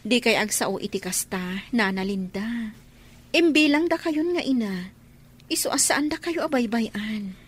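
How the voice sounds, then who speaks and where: An actress plays a caring young woman in a radio drama. Her voice is slightly high and a bit husky, and she speaks in a comforting, reassuring way. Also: lively intonation, measured pace. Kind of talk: Ilocano radio drama acting.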